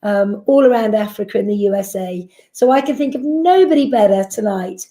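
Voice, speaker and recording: A woman's voice: mid-range voice, a little fast, warm tone, roomy sound. A woman giving a persuasive business presentation, asking rhetorical questions and answering them. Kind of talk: sales presentation.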